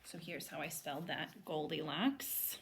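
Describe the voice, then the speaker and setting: A woman's voice: deep voice, unhurried, vocal fry, slight background noise. A woman speaking as an elementary teacher, reading aloud and explaining to children step by step. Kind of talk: storybook read-aloud and lesson for children.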